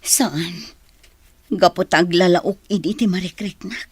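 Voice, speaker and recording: A woman's voice: fairly low pitch, fairly even intonation, unhurried, darkish tone, husky, no echo. A woman with a Filipino accent playing a plaintive, sorrowful mother in a radio drama, longing for her child. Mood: regretful.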